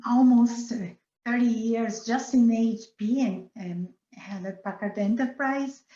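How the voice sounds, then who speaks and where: A woman's voice: a little high, dark tone, reverberant, muffled recording. A middle-aged woman from Brazil with a Brazilian Portuguese accent, speaking earnestly as an interview guest with many fillers and restarts. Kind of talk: casual interview.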